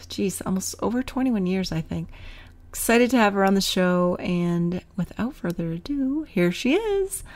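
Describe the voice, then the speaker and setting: Woman, forties, podcast host; casual, chatty, upbeat, quick laughs, mid-pitched.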